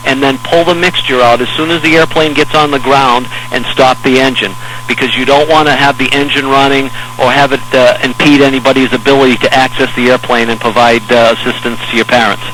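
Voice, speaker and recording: An American man working as an air traffic controller, giving measured, hesitant, reassuring step-by-step instructions over aviation radio. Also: medium pitch, steady tone, a bit bright, soft background music, rough recording. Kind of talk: instructional radio talk to a pilot in an emergency.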